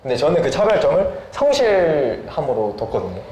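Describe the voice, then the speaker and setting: A young man gives casual interview answers in a fairly high but soft-edged voice with lively intonation. The room echoes and there is some background noise. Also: fairly quick. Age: young adult. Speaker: interviewed guest, software developer and startup founder.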